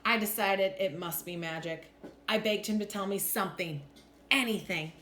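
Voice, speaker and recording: A woman reading a children's storybook aloud in an expressive, deliberate storytelling manner. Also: medium pitch. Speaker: teacher reading a picture book aloud. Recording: roomy echo with faint background noise.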